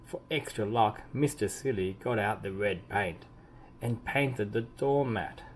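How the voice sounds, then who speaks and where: Low-pitched man reading a children's storybook, noisy recording. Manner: deliberate emphasis, drawn-out key words. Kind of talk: expressive read-aloud storytelling. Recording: clearly audible background noise.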